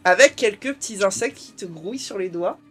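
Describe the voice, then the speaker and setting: A woman from France running a tabletop role-playing game as game master, chatty and quick to laugh. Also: mid-range voice, slightly rough, faint music behind. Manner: laughs often, animated and chatty.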